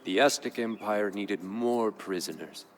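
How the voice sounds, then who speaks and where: A man voicing a grave Aztec jaguar warrior of royal blood, recounting events in measured, solemn game narration. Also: fairly low pitch, a little hiss or hum, close to an everyday voice. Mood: grave, foreboding. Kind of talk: scripted dramatic narration for a game. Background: music.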